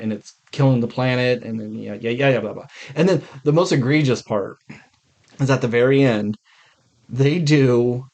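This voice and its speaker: A man's voice: mid-range voice, darkish tone. Male podcast co-host, mid-range voice, informal review chat, frank and foul-mouthed. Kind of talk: casual film review chat.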